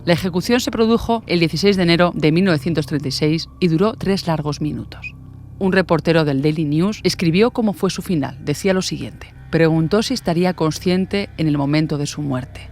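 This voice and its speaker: A woman's voice: deep voice, brisk, a little mellow. A woman from reads a scripted, dramatic true-crime narration. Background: music.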